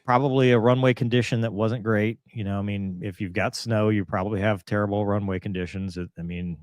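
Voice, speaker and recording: A man hosting a casual aviation discussion, speaking in a halting, earnest, conversational way. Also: on the low side, fairly even intonation, a little mellow, dry recording. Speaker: show host and commentator.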